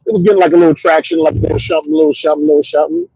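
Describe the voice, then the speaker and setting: A middle-aged man hosting a casual livestream, rambling in an animated, motivational way with lots of repeats and "you know what I'm saying". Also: a little high, very fast, vocal fry, husky, no room sound, poor audio. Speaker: host.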